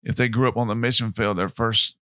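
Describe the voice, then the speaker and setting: A man's voice: on the low side, steady tone, brisk, a bit bright, no room sound, studio quality. Joking, chatty man hosting a podcast. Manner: chatty and joking, laughs often. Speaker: pastor and podcast host. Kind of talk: casual interview chat.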